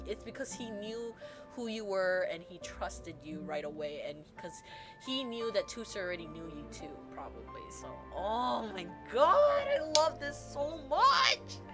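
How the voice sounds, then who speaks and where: A young woman streaming a game, gushing and rambling like an excited fangirl. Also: on the high side. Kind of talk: excited live game commentary. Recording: game music playing under the voice. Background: game music.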